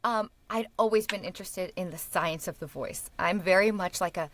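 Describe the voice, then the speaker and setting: A woman's voice: lowish voice, moderate pace, a bit bright, no room sound. A woman speaking as a podcast guest and speech-language pathologist, chatting enthusiastically, laughing often and restarting her sentences. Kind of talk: casual interview chat.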